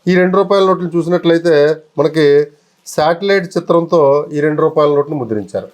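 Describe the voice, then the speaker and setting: Male interview guest, voice a little high, polite explainer who repeats key phrases. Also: quick pace. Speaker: guest currency collector showing old notes.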